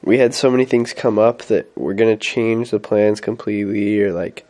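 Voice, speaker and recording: A man's voice: fairly low pitch, normal speed, a little mellow. A young man on a mission, talking casually through his week with many fillers and restarts while stuffy with a head cold. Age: young adult. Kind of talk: casual weekly update vlog.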